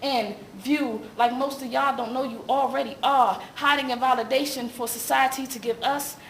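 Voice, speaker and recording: A woman's voice: high voice, steady tone, normal speed, vocal fry. A woman performing slam poetry as rhythmic, rhyming spoken word with an emphatic, cadenced delivery. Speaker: slam poet. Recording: echoey room sound with faint noise.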